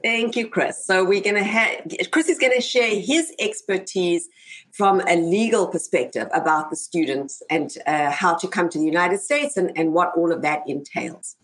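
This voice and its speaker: A woman's voice: fairly low pitch, normal speed. A woman hosting an online interview show, talking upbeat with frequent fillers. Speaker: host. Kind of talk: hosted webinar interview.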